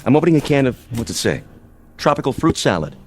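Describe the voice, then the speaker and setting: A man's voice: mid-range voice, very animated, quick pace, noisy background, close to an everyday voice. An actor playing a cocky, mocking army major in a radio drama, sarcastic and theatrical in his taunts. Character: cocky, mocking military major, a swaggering intruder. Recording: radio drama with music underneath.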